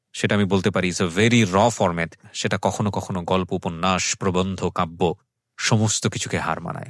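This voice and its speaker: A man's voice: sits low, a bit bright, no echo, clean studio sound. A man hosting a radio show, speaking in a reflective, articulate way with English words mixed in. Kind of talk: radio show introduction and interviewing.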